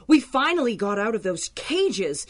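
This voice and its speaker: A streetwise lady crook from a 1930s radio serial. Her slightly high voice swings up and down, slangy, sassy and plucky. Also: big pitch swings, a little slow. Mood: wary, sassy.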